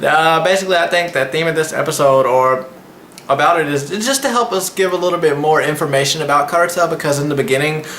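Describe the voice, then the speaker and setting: Bright-voiced young man, echoey room. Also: fairly high pitch, quick pace. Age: 20s. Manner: slangy, rambling, loose phrasing. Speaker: anime reviewer and channel host. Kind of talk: casual vlog episode recap. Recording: echoey room with faint background noise.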